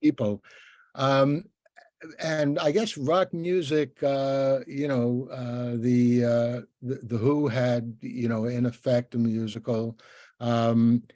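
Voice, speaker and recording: An older man hosting a casual interview, talking in a halting, rambling way with many restarts. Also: medium pitch, level tone, warm tone, no echo. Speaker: interviewer, podcast host.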